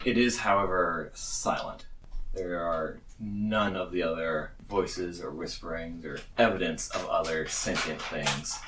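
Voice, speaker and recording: Low-voiced man narrating, in an echoey room. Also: steady tone, unhurried, a bit bright, slight background noise. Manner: amused, chuckling between narration. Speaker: game master. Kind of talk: narrating a tabletop game among friends. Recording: echoey room, distant from the mic.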